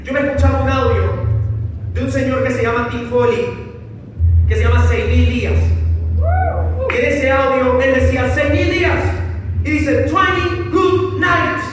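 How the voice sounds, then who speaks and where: A man's voice: very high-pitched, fairly even intonation, unhurried, long pauses. A man with a Venezuelan accent giving an emphatic motivational speech to a live audience, repeating phrases for effect. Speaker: motivational speaker. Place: large hall with audience, crowd noise behind. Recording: echoey hall, distant mic, noisy crowd.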